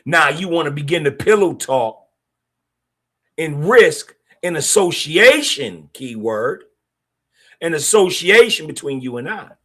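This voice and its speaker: A middle-aged man hosts a podcast monologue. His voice sits a little high for a man and swings widely in pitch. He speaks bluntly, with street slang and strong opinions. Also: animated, a little slow, bright, forceful tone. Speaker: podcast host.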